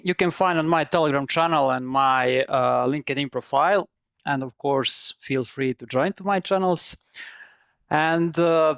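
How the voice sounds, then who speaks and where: A man's voice: on the high side, no room sound, clean studio sound. Serbian-accented man giving a technical talk. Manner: careful non-native phrasing, explains step by step. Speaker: conference speaker.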